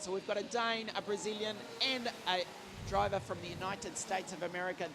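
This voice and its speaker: A man's voice: sits high, background noise. A man giving live motorsport commentary from trackside, rattling off names and results with enthusiasm. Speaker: race commentator. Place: trackside at a karting circuit in southern Italy.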